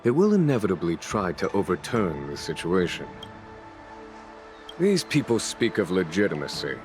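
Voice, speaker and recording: An actor playing a composed, worldly military leader in a game, speaking in a measured, formal and deliberate way with a subtle note of caution. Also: male voice, deep voice, wildly swooping pitch, a little mellow, faint music behind. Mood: measured, grave. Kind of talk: scripted game dialogue, long exposition.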